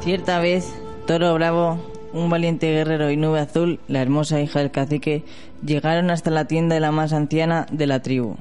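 A man's voice: a little high, little pitch movement, moderate pace, a little mellow, faint music behind. A man narrating a legend in an amateur radio play, reading aloud steadily. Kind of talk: read storybook narration.

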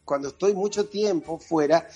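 A man's voice: on the high side, normal speed, dark tone, husky, muffled recording, soft background music. A man with an Argentine accent, a psychologist guest talking on a radio show in a thoughtful, chatty way. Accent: Argentine Spanish. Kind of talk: radio interview, phoned in.

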